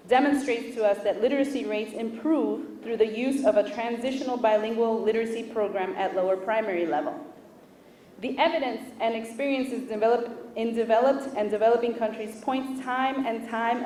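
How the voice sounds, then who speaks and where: A woman whose first language is Spanish gives a formal prepared speech as an official at a launch event, with measured, deliberate delivery and pauses between listed items. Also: a little high, a little mellow, slight background noise. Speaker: official speaking at a book launch. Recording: echoey room picked up from a distance.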